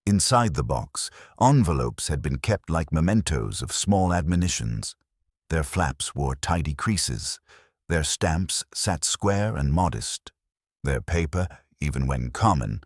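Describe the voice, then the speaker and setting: A man with a very low, husky voice narrates a wry mystery story. His delivery is arch and measured, with lively rises and falls, in a dry, close recording. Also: no echo.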